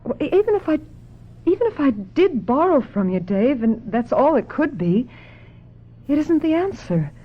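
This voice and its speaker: A woman's voice: high-pitched, very animated, moderate pace. A woman playing a naive, weary young actress who tells her troubled story earnestly in a radio mystery drama. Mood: anxious, earnest. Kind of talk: dramatic radio mystery acting with first-person narration. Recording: dull lo-fi radio drama, music behind.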